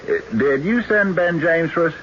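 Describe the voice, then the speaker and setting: An American actor playing a folksy old-West sheriff with a rustic drawl in a radio drama. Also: male voice, on the high side, expressive, moderate pace, a bit bright. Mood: folksy, wary. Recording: muffled, noisy old radio broadcast.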